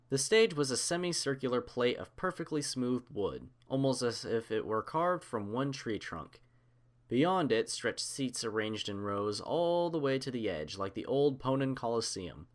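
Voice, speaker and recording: A man reading scripted fiction as an audiobook narrator. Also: medium pitch.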